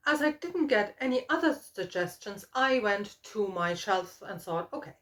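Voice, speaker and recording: Middle aged lady doing a relaxed vlog chat, medium pitch, thinks out loud and wanders into asides. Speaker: book vlogger and host. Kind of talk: casual book chat to camera.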